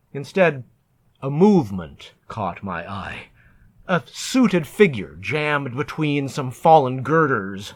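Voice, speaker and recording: A man reciting narrative poetry in a deliberate, measured, theatrical way, as a poet reading his own work. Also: medium pitch, a bit bright.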